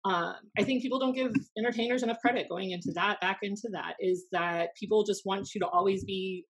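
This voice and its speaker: An American woman in her thirties telling anecdotes casually, in a blunt, animated, sweary way, as a podcast host. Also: medium pitch, brisk, breathy edge, low-quality recording. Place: small room at home, indoors. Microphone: desk podcast microphone on video call.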